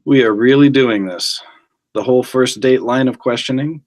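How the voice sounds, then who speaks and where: A man reading the part of a guarded, gruff, suspicious male agent, with blunt, terse, dry retorts. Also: medium pitch, moderate pace, voice pushed up, lightly acted. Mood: guarded, irritated.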